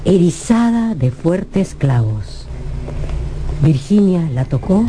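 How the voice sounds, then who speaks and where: A woman's voice: fairly low pitch, big pitch swings, takes it slowly, dark tone, slight background noise. A woman narrating a scripted radio drama with a dramatic storytelling delivery.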